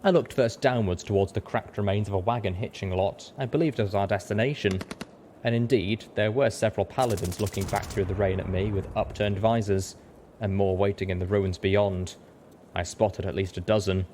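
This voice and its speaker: A man's voice: normal speed, darkish tone. A man reading a story aloud as a dramatic audiobook narrator. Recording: rumbling background noise.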